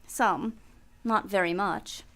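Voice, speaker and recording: An actress playing a seductive, deceitful femme fatale in a radio play, with theatrical delivery. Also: female voice, mid-range voice, takes it slowly, a bit bright. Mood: weary confession. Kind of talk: old-time radio play acting.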